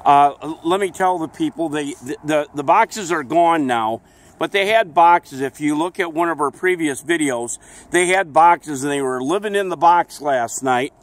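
A man's voice: fairly high pitch, moderate pace. A man with a friendly, rambling manner, interviewing people outdoors on a town street into a handheld camera.

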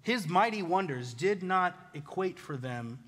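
Bright-voiced American man preaching in reverberant hall. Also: sits high, measured pace. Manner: casual, animated, self-deprecating, mimics others' remarks. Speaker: pastor preaching. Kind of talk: conversational sermon with personal stories.

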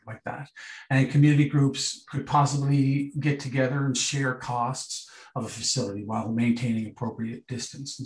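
A man answering a town hall question as an election candidate over a video call, talking haltingly with many restarts and fillers. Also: mid-range voice.

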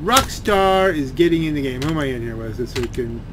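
A man with a fairly high voice commentates over a game with clear engine noise behind him. He rambles and grumbles, halting and doubling back on his words. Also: expressive. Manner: complaining, halting, repeats words. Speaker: gaming video creator.

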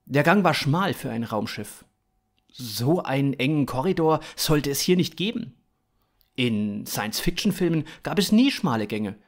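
A man in his thirties narrating scripted fiction in a dramatic, expressive way. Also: mid-range voice, unhurried.

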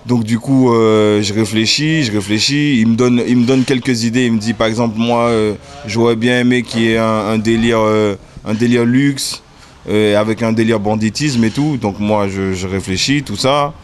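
A relaxed man in his thirties from the French Caribbean chats in an interview, speaking with a fairly low voice. He hesitates often, leaning on fillers and a constant "you know". He talks indoors into a desk mic. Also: moderate pace. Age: 30s. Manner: relaxed, hesitant, many fillers and repeated "tu vois". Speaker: music video director. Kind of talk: casual interview. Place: indoor room. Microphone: desk mic in front.

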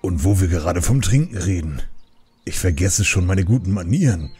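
Old panda brewmaster, played by a man: very low voice, jovial, courteous, kindly storyteller, light music underneath. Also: animated, moderate pace, a little mellow, husky, exaggerated voice. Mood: genial, apologetic. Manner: good-natured, polite, chuckling. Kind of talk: audiobook character acting.

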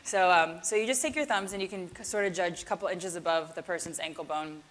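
A woman's voice: fairly low pitch, fast, a bit bright, a little hiss or hum. A woman yoga teacher giving a casual keynote talk with a live demonstration, chatty and self-deprecating.

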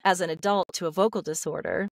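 Candid middle-aged woman, low dry voice. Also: steady tone, fast talker, creaky, no room sound. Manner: open, confessional, lots of fillers and restarts. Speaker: guest, voice actor. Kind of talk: candid personal storytelling. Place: home room, video call. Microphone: studio mic on stand.

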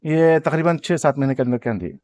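A man's voice: on the high side, expressive, a little fast, darkish tone, dry recording. A man with a Hyderabadi accent in a casual sales chat as an interviewed farm seller, agreeable and repeating short words of assent. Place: outdoors on a grassy farm yard. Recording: dull lo-fi sound.

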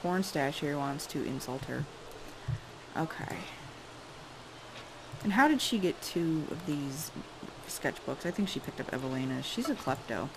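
A woman with a raspy voice giving casual, chatty commentary as she plays a life-simulation game. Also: deep voice, expressive, measured pace, pauses often, noisy background. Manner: chatty, musing aloud while directing characters. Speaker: let's play host.

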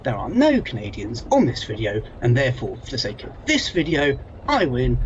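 A middle-aged British man delivers an informal history lecture from a small home office. He tells it like a story, stressing key points and posing rhetorical questions. His pitch is average for a man, and the recording is dull and lo-fi with faint noise. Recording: dull lo-fi audio with some noise.

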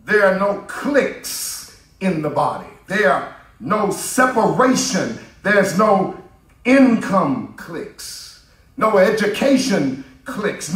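A middle-aged man preaching a sermon from a pulpit microphone in a church, building his cadence up to shouts. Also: sits high. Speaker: pastor. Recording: roomy church from a distance.

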